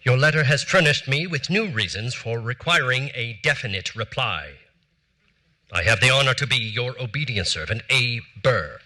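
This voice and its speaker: An actor in his forties reading letters as a proud, aggrieved statesman, in formal, measured, stiffly offended speech on a theater stage. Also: medium pitch, animated, pushed and bright. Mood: indignant. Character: proud aggrieved male statesman demanding satisfaction.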